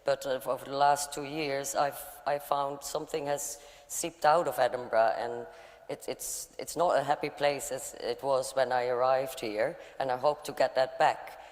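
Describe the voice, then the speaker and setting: A Dutch woman standing as a candidate, giving a nervous, halting speech at a hustings. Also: very deep voice, steady tone, a little mellow. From Netherlands. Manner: halting, nervous, many fillers. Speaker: election candidate. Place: large church hall. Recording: long church hall reverb.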